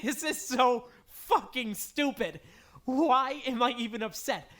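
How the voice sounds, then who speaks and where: A woman voicing a distraught, defensive teenage boy in an animated drama, stammering and emotionally raw. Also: a little high, slow pace. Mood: upset, indignant. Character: distraught, defensive teenage boy, guilt-ridden and foul-mouthed. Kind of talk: dramatic voice acting in a fan-made animation.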